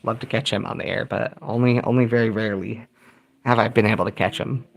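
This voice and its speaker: A man casually chatting while tuning a shortwave radio, reading viewer comments and thinking aloud in a relaxed, rambling way. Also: fairly low pitch, fairly quick, vocal fry.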